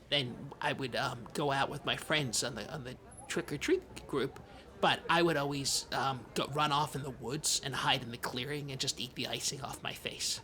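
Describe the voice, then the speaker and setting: A man playing an anxious, eternally young oddball podcast co-host, speaking in a halting, dramatic way in improvised comedy chat. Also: medium pitch, pushed and bright, close to an everyday voice. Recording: noisy room ambience.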